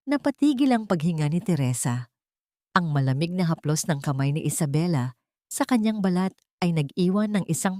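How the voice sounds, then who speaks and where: Lowish-voiced woman narrating dramatic stories. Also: dark tone, dry recording, clean studio sound. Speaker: storyteller.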